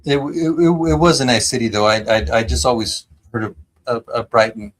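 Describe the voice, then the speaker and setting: A man's voice: mid-range voice, fairly even intonation, normal speed. An American man from San Diego hosting a live stream, chatting enthusiastically with lots of fillers and restarts. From San Diego, United States. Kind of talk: casual chat.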